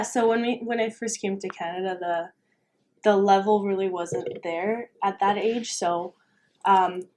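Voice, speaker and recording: A woman's voice: mid-range voice, a little mellow, a little hiss or hum. A young woman from Romania, a university tennis player, talking casually as a podcast guest with frequent fillers and light laughs. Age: young adult. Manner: chatty, laughs often, many fillers. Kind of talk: casual interview.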